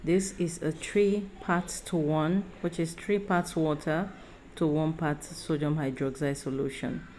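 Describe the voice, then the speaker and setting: A low-voiced woman, a soap-making host, talks through her recipe in a warm, unhurried tutorial voice-over. Her delivery rambles, with frequent fillers and corrections as she explains each step. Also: steady tone, slight background noise.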